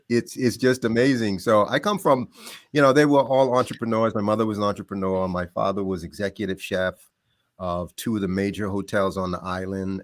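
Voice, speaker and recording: A middle-aged man from the Bahamas, a podcast guest telling stories about growing up in a lively, casual way. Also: lowish voice, dry recording, clean studio sound. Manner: animated, laughs often, trails off into quieter asides. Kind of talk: casual storytelling.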